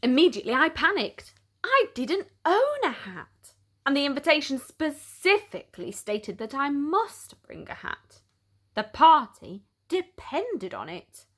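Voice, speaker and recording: Animated woman reading a children's storybook. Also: high-pitched, measured pace. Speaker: storyteller, picture book reader. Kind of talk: expressive children's storybook narration.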